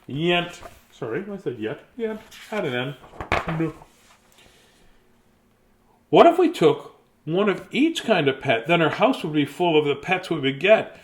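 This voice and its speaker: A man with a slightly high voice reads a children's story aloud. His pitch moves up and down in a very animated way. He is theatrical and playful, stepping out of the text for chatty little remarks. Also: measured pace, long pauses, slight background noise. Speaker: storyteller reading children's books. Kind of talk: expressive bedtime story read-aloud with casual asides.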